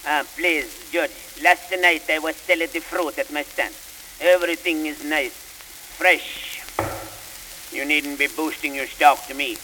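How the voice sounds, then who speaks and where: An actor playing an excitable Italian immigrant fruit seller who complains earnestly to the judge in broken English. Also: male voice, a little high, unhurried. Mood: complaining. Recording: hissy, echoey old record.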